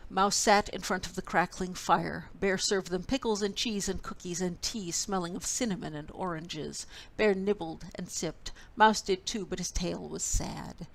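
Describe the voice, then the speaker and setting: A woman storyteller reading a children's picture book expressively, with deliberate, dramatic phrasing. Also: lowish voice, steady tone, creaky.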